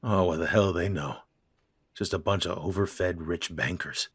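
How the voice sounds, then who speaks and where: A man playing a deep-voiced, husky middle-aged father. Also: unhurried, no echo, exaggerated voice. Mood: joking. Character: gruff middle-aged human father, stiff and uneasy. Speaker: voice actor. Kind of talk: audio drama acting.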